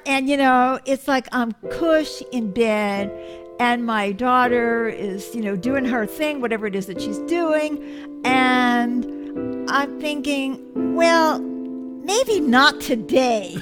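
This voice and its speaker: A woman's voice: high-pitched, takes it slowly. A woman in her seventies hosting a show, talking to viewers in a rambling, self-mocking way full of joking asides. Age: 70s. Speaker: host. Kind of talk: casual monologue to viewers. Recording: loud music playing behind.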